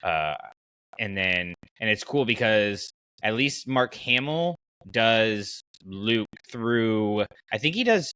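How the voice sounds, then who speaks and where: A man's voice: lowish voice, lively intonation, takes it slowly, bright, forceful tone, clean tone, no room sound. A man hosting a podcast in casual conversation, thinking aloud and giving his opinions as he goes. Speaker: podcast host. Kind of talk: casual podcast chat about fiction.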